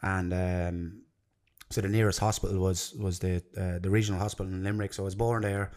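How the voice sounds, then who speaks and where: A man's voice: low voice, flat delivery, a little fast, a little mellow. A man in his thirties with an Irish accent, chatting casually and earnestly as a podcast guest, rambling a little. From Ireland and London. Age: 30s. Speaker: podcast guest, jiu-jitsu coach. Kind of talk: casual chat.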